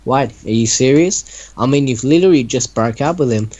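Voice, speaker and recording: A man's voice: medium pitch, normal speed, a little mellow, muffled recording. Man reading aloud with teasing asides. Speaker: reader in a group reaction show. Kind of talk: casual story reading with banter.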